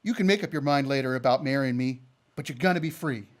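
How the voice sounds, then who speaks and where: A man's voice: medium pitch, fast talker, natural voice. A man playing an earnest, stubborn cowboy in love, plainspoken and rustic, in a radio Western drama. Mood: defiant. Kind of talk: old-time radio Western acting.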